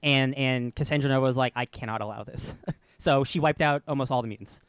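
A man's voice: mid-range voice, fairly quick, clear, resonant tone, no echo. A man co-hosting a casual comics discussion, eager and explaining at length.

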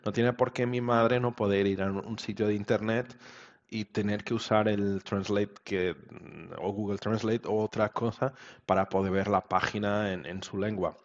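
Low-voiced man, lo-fi sound. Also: flat delivery, normal speed. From Portugal. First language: Portuguese. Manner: thoughtful, many restarts and tag questions. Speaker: guest, web standards expert. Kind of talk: casual interview answers.

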